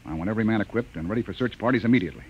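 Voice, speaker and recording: An actor playing a brisk, wary spaceship captain giving clipped orders in an old science fiction radio drama. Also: male voice, fairly low pitch, lively intonation, husky. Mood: stern, commanding. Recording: dull, noisy old-time radio recording.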